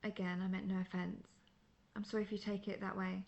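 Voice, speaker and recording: A woman playing a seasoned, world-weary female monster hunter in a fantasy audio roleplay, speaking in a measured, formal, dryly condescending way. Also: mid-range voice, little pitch movement, gravelly, darkish tone, dull audio, natural voice.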